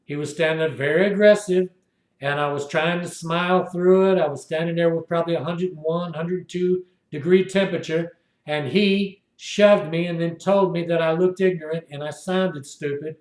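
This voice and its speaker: High-voiced man in his sixties, echoey room. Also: fairly even intonation, normal speed. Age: 60s. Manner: indignant, repetitive storytelling. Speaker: video blogger. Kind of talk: rambling first-person monologue.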